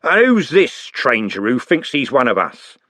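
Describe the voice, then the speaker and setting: A man's voice: medium pitch, very animated, unhurried, bright, forceful tone, no room sound, clean studio sound. A man voicing a big, menacing gang leader who sneers and mocks. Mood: sneering. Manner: sneering, mocking.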